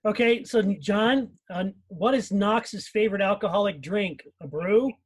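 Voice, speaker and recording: A man's voice: high-pitched, unhurried, husky. A man hosting a casual live question-and-answer session, putting questions to a panel. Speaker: host putting questions to a cast.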